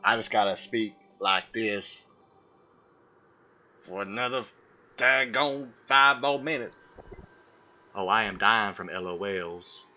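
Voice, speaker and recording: A man voicing a slow, simple, earnest Southern American man with a folksy drawl while chatting on a gaming livestream. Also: lowish voice, sharp tone, exaggerated voice. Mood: earnest, resigned. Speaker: video game streamer. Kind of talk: livestream gaming chat held in a put-on voice. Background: music.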